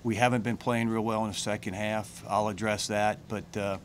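A middle-aged man, a head football coach, answering questions at a postgame press conference in plain, halting speech full of fillers and restarts, into a table mic. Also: fairly low pitch, flat delivery, slight background noise. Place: press room with branded backdrop. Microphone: table mic on a stand.

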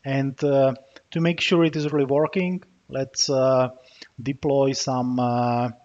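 A man with a Czech accent walking through a software demo as he types, with frequent filler pauses. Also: mid-range voice, little pitch movement. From Czech Republic. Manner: narrates while typing, frequent uh fillers. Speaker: software developer demoing a tool. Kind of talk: screencast tutorial walkthrough. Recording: slightly dull, muffled recording with keyboard typing.

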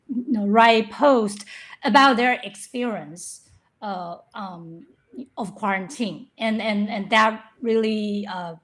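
A woman speaking as a webinar panelist on a video call, measured, with some repetitions. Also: medium pitch. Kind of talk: webinar discussion.

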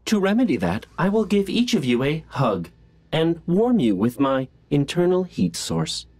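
A man playing a gentle, literal-minded healthcare robot in a video game, speaking in precise, formal clinical phrases. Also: on the high side, very animated, measured pace, heavily acted. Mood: calm, clinical. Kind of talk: video game cutscene acting.

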